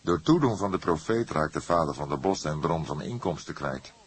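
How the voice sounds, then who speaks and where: A man voicing an old, shrewd police detective, measured and stern, in an audiobook. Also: very deep voice, animated, breathy edge, no room sound, heavily acted. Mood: reasoning.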